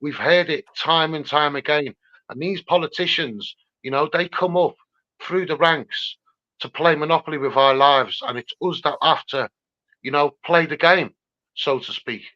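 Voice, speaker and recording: A man's voice: on the high side, moderate pace, pushed and bright. A man with a Scouse accent giving an impassioned, insistent campaigner's talk full of rhetorical repetition. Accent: Scouse (Liverpool). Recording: slightly dull, lo-fi sound.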